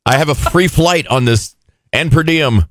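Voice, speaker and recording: A man's voice: a little high, dry recording, clean studio sound. A man hosting a morning radio show, joking and teasing his co-host in casual banter. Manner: playful, teasing, quick jokes.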